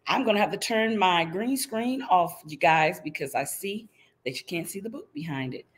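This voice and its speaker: A woman's voice: fairly low pitch, lively intonation, moderate pace. A middle-aged woman reading a picture book aloud to young children, teacherly and interactive, checking in with her listeners. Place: indoors in front of a green screen.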